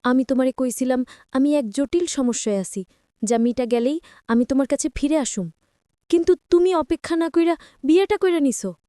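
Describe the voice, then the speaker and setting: A woman's voice: sits high, normal speed, mellow, no echo, clean studio sound, natural voice. A woman voicing a possessive, manipulative young former lover in a story, with a Bangladeshi Bengali accent and a controlling manner. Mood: accusing.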